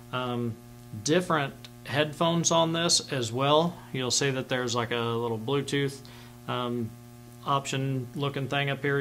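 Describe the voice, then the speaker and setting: A man's voice: mid-range voice, a bit bright, low-quality recording. Man explaining plainly, with background hum. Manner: plain-spoken, step-by-step explaining. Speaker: instructor. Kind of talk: informal instructional demonstration to camera. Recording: clear background hum and noise.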